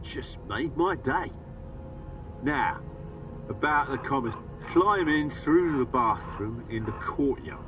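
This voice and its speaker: An actor playing a swaggering, unhinged military colonel in a video game, barking and taunting theatrically. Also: male voice, mid-range voice, animated, takes it slowly, distant mic, background noise. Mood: smug. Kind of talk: video game character acting.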